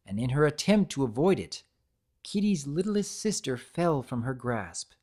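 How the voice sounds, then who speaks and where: A storyteller delivers a scripted dramatic reading with deliberate expression. The pitch moves widely and freely, giving the narration a very animated feel.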